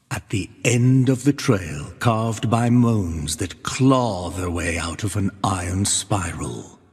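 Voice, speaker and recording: Grave, husky penitent narrator. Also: male voice, low-pitched, heavily acted. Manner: solemn, measured, portentous. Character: solemn ancient penitent narrator and witness, grave and devout. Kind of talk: archaic scripted video game narration. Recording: long cavernous reverb.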